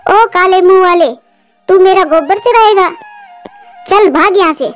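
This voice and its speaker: A woman voicing excitable, chatty female birds in a children's cartoon, with a dramatic manner. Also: very high voice, measured pace. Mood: scolding. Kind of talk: Hindi children's cartoon dialogue. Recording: music behind the voice.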